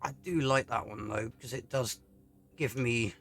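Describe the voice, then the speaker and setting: A man giving casual, low-key commentary on a video game as he plays, often muttering to himself. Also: a little slow, a bit bright. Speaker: gaming YouTuber. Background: game music.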